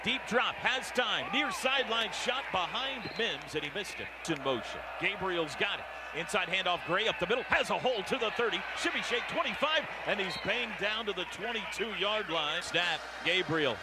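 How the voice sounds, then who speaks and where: A man's voice: high-pitched, lively intonation, moderate pace, hardly any pauses. An American man calling football live on radio as play-by-play announcer, in clipped phrases that turn urgent on big plays. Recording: roaring stadium crowd and heavy noise. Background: loud stadium crowd.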